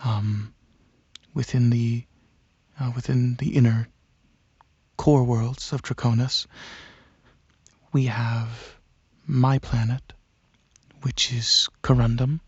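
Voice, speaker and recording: A courteous alien dragon prince, played by a man, talks softly in an ASMR roleplay, gently explaining with musing hesitations. The voice is husky and very gravelly, recorded very dry and close with a dulled top end. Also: medium pitch, level tone, slow pace, long pauses, no room sound, dull audio. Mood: soft, proud. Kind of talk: soft-spoken ASMR roleplay ramble.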